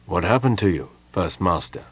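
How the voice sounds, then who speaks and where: A man voicing a stern, patient male mage who explains things gravely and earnestly in a storybook reading. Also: low-pitched, lively intonation, fairly bright tone, no room sound, exaggerated voice. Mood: concerned. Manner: measured, serious, explanatory.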